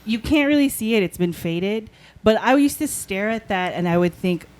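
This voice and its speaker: A woman's voice: medium pitch, expressive, normal speed. A woman co-hosting a casual podcast, candid and self-deprecating, with lots of "like" in her speech. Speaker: podcast co-host.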